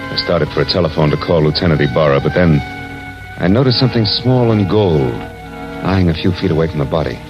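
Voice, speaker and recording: A dull vintage radio recording with music behind it carries a hardboiled American private eye. His voice is low and he talks fast, clipped and sardonic, in the style of 1940s radio narration. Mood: wry, grim. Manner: clipped, hard-boiled, sardonic. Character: tough, wisecracking male private detective.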